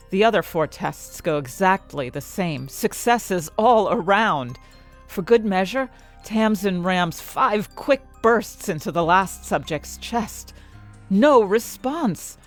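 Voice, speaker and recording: A woman playing an ambitious, conflicted scientist who narrates her own story with dramatic, deliberate phrasing. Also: lowish voice, animated, soft background music. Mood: tense narration. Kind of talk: first-person audio fiction narration.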